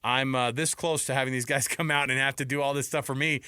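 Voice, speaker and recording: A man's voice: mid-range voice, steady tone, fast, pushed and bright, clean tone, no echo. A man hosting a sports talk show in a studio, informal and bantering, moving between chat and sponsor reads. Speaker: sports radio host. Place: radio studio. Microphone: close studio microphone.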